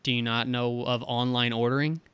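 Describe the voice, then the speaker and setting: A man's voice: mid-range voice, little pitch movement, normal speed, fairly bright tone, clear, resonant tone, dry recording. A man hosting a podcast, reading an upbeat intro and then trading jokes with his co-host in casual banter.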